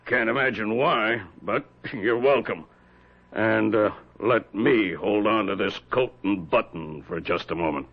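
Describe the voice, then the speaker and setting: An actor playing a plain-spoken, matter-of-fact colonial town constable with a folksy way of talking in a radio drama. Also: male voice, lowish voice, unhurried, slight background noise. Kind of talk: 1970s radio drama acting. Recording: dull lo-fi vintage radio recording.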